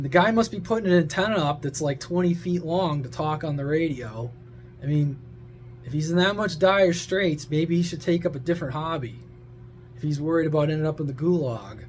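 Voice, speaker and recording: High-pitched blunt man ranting. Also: expressive, normal speed, long pauses, slight background noise. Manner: blunt, sarcastic, crude, exasperated. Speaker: social media creator and CB radio hobbyist. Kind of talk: casual opinionated rant to viewers.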